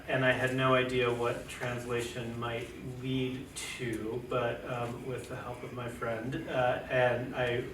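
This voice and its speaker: A man's voice: mid-range voice, bright, forceful tone. Hesitant man in an echoey room. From United States, family from Chile. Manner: hesitant, many fillers and restarts. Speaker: poet and translator on a panel. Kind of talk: casual panel talk. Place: lecture room. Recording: echoey room, distant mic, noisy.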